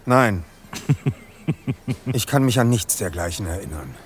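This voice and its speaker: A man's voice: fairly low pitch, animated, unhurried. A man playing a composed, observant young detective leader in an audio drama, with precise, slightly formal phrasing. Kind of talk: German audio drama dialogue. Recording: faint music behind the voice.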